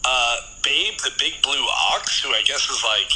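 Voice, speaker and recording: A man's voice: medium pitch, expressive, normal speed. American man in his twenties, noisy recording. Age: late 20s. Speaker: podcast guest. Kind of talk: casual comic storytelling.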